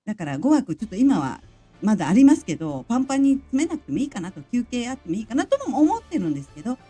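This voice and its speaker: A lively, chatty woman with swooping intonation and a soft, slightly husky voice. She talks quickly and casually, as on a group audio stream, with faint music underneath. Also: a little high. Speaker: guest on a live audio stream. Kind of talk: casual group chat and announcement.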